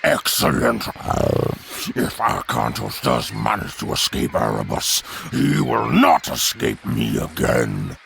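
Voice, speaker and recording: An actor playing a monstrous villain's henchman in a video game cutscene, growling slowly with menace. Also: extremely low pitch, huge pitch swings, fairly bright tone, slight background noise, heavily acted. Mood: menacing. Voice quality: gravelly growl. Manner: slow, drawn-out menace.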